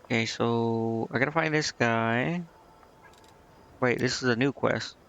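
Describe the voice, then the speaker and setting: A man giving casual game commentary as a streamer, rambling and thinking out loud. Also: mid-range voice, measured pace. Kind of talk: casual gameplay commentary, reading game dialogue aloud. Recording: muffled mic with clear background noise.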